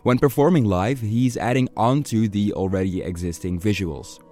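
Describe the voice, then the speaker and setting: A man's voice: fairly low pitch, normal speed, mellow, soft background music. A man narrating a scripted podcast as its host.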